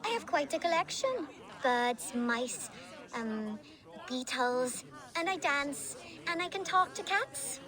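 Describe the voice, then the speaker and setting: A woman's voice: high voice, lively intonation, slow, a crowd in the background. A woman playing a chatty, matter-of-fact young village girl with a morbidly whimsical streak in a video game. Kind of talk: video game character dialogue.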